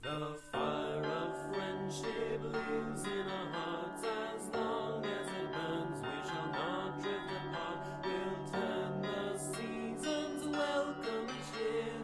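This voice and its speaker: A woman sings a lullaby-like song at a very drawn-out pace. Her tone is somewhat breathy and husky. It is recorded dry, with faint music behind her. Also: mid-range voice, dry recording. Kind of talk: slow folk-like singing.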